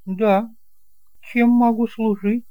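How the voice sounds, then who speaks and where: Audio drama aunt , played by a man: middle-aged widow, pitched up high, exclamatory, gushing yet snobbish. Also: steady tone, slow, no room sound, dull audio. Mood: businesslike, confiding. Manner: theatrical, exclamatory.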